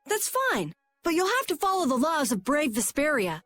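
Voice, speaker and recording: A high-pitched, very lively young boy acted by a woman from a video game. He is earnest and eager to explain, with a bashful streak of self-doubt, over faint music. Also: normal speed. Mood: earnest, curious. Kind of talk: animated RPG video game dub. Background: faint background music.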